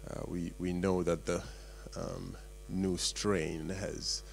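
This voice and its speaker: A man hosting a small workshop, opening it with halting remarks full of fillers, in a meeting room. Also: low-pitched, little pitch movement, vocal fry, slight background noise. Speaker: event host.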